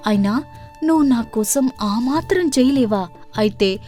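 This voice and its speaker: A woman's voice: fairly high pitch, lively intonation, measured pace, dark tone, faint music behind. A woman voicing a stubborn young princess wife, coaxing and insistent, in a storybook tale. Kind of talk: storybook cartoon character voice.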